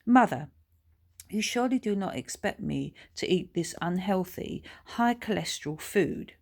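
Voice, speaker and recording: A woman's voice: medium pitch, expressive, measured pace, creaky, voice pushed up. A woman voicing a smug, pompous schoolboy who talks in a very formal, well-spoken way. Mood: haughty. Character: smug, pompous, newly posh schoolboy son.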